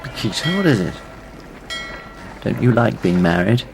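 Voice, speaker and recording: A man's voice: fairly low pitch, moderate pace, vocal fry, background noise. A wry young Englishman narrating. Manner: wry, animated storytelling. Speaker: narrator and lead. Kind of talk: radio drama acting.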